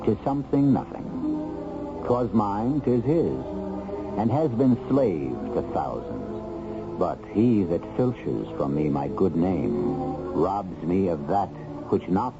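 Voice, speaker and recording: Deep, slow, theatrical male radio host. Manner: measured, dramatic, literary. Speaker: host and narrator. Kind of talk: theatrical scripted radio narration. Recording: muffled old radio recording with music.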